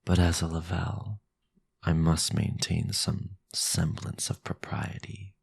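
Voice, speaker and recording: A sinister vampire lord for an audio roleplay. His low voice is very husky and breathy, crackling with gravel. He speaks with formal, possessive authority and silky menace. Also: little pitch movement, a little mellow, dry recording, exaggerated voice. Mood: hungry, seductive.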